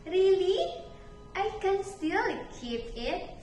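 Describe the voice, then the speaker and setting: A woman voicing a cheerful young storybook girl who pleads and exclaims in drawn-out phrases. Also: very high-pitched, animated, darkish tone, voice pushed up, exaggerated voice. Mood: excited. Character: cheerful young girl, sad and pleading over her treasured bracelet. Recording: echoey room, distant mic, music behind.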